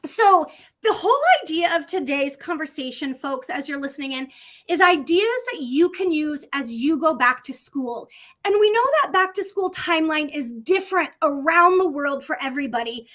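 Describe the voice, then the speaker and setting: A woman's voice: high voice, animated, moderate pace, a bit bright. A middle-aged woman hosting an upbeat online session from a home office, talking in an effusive, enthusiastic way over a video call. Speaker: host.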